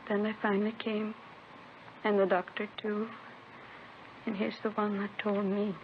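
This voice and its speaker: Actress doing sad young mom confessing, halting and pleading, old noisy thin radio drama recording. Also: female voice, mid-range voice, little pitch movement, highs cut off, lightly acted. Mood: grieving, haunted. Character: grieving, distraught young mother confessing to police. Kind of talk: 1950s radio drama acting.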